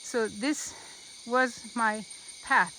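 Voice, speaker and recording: A woman's voice: on the high side, very slow, bright, forceful tone, a little hiss or hum, rough recording. A woman teaching about the Quran while walking a rainforest trail, speaking earnestly and unhurriedly and repeating phrases for emphasis. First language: not Arabic. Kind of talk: walking vlog talk.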